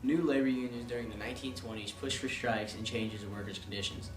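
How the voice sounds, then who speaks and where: A man's voice: medium pitch, fairly even intonation, quick pace. Distant, echoey male reporter. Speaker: news correspondent. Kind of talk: scripted news report reading. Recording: distant mic, echoey room, music underneath.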